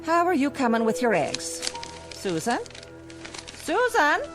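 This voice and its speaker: A woman's voice: sits high, wildly swooping pitch, over music, heavily acted. An actress playing a fussy, motherly landlady who scolds and fusses, in a 1960s TV drama. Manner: fussy, scolding, slow and sing-song.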